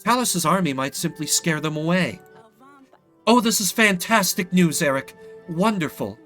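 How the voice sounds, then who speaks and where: A bustling queen from a story game, played by a man, decisive and emphatic. The voice sits high and is bright, with lively movement. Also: moderate pace, soft background music. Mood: excited, relieved.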